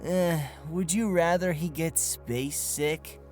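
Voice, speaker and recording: Man playing a slow-talking slacker student guy. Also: on the high side, steady tone, a little mellow, soft background music. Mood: reassuring. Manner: casual, drawling, breezy. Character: laid-back, cocky young male student slacker. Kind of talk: comedy audio drama acting.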